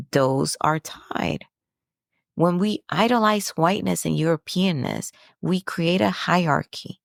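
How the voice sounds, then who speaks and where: A woman's voice: on the low side, creaky, dry recording, studio quality. A woman from the Dominican Republic hosting a podcast, talking through a personal reflection in an earnest, preachy way with rhetorical questions. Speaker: podcast host.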